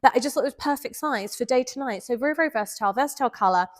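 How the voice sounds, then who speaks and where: A woman's voice: sits high, very fast. A woman with a head cold, sounding nasal, chatting enthusiastically through a handbag review. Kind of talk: casual product review vlog.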